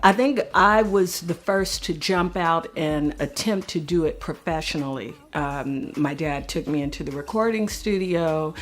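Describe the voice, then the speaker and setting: A woman's voice: sits low, a little slow, few pauses. A woman singer giving a reflective personal interview, telling her own story in conversational language. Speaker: singer in a family group.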